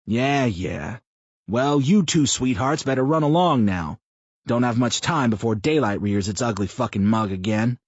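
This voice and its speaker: A man voicing a crude, loud, foul-mouthed man who jokes and mocks in an audiobook. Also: medium pitch, animated, normal speed, breathy edge, dry recording, dull audio. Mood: brash. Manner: brash, swearing, mocking.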